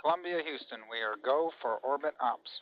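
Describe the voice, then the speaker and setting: A man's voice: mid-range voice, brisk. A man speaking over a radio link as a mission control communicator, giving courteous, clipped procedural calls. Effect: radio transmission. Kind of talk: procedural spaceflight radio chatter. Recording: muffled lo-fi 1980s radio link.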